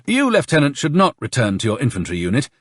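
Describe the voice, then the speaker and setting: A man's voice: medium pitch, huge pitch swings, fast talker, no room sound, clean studio sound, pitched above the performer's own voice. A man voicing a suave, insincere army colonel in an audiobook, with honeyed, emphatic politeness. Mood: brisk command.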